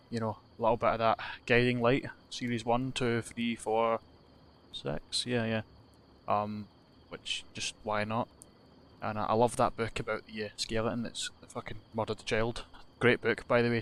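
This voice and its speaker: A man's voice: mid-range voice, fairly bright tone. A young Scottish man giving rambling, self-deprecating commentary over a game he is playing, swearing casually. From Scotland. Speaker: gaming YouTuber.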